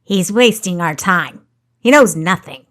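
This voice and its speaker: A cruel, haughty baron played by a woman narrator in an adventure audiobook. He speaks low and slowly, with commanding contempt. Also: lively intonation, a bit bright, heavily acted. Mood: angry. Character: cruel, haughty male baron, sneering villain.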